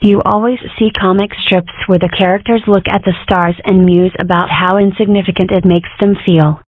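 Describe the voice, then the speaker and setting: A woman's voice: medium pitch, fairly even intonation, moderate pace, lightly acted. A woman voicing a brash, self-important little cartoon girl who rambles and makes grand declarations, in a comic strip dub. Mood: whimsical, opinionated. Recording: muffled, phone-like narrow audio.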